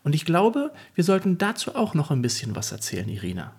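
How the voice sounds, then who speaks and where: A man's voice: a little high, huge pitch swings, fairly quick, darkish tone, breathy edge. A man co-hosting a podcast, telling his story in an earnest, reflective way. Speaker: podcast co-host. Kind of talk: casual podcast chat.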